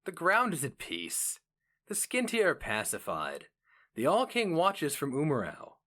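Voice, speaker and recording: A sly male sorcerer from a fantasy tale, played by a male reader. He speaks slowly, in measured and theatrical phrases. Haughty and evasive, he keeps up a show of calm. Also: on the high side, pitched above the performer's own voice, heavily acted. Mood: haughty evasion.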